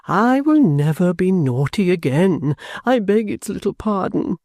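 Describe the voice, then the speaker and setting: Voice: medium pitch, sing-song, a little slow, warm tone, no room sound, clean studio sound, pitched above the performer's own voice. A reader voicing an affected, foppish man pleading in wheedling baby talk and mild oaths.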